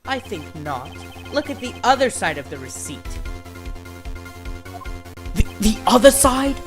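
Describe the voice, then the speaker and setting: An earnest young male lawyer, acted by a man, theatrical and easily rattled in a courtroom game dub. He speaks in measured, emphatic phrases over background music. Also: sits high, sing-song. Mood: confident, pressing. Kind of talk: video game fandub courtroom drama.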